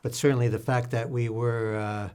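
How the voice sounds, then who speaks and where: An older man speaking as an interview guest, recounting his experience in a halting, measured way with formal wording. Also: medium pitch, level tone, darkish tone, clean tone. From United States, born in Sweden to Latvian refugees. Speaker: interview guest, political activist and lecturer. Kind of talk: reflective conversational account in a public discussion.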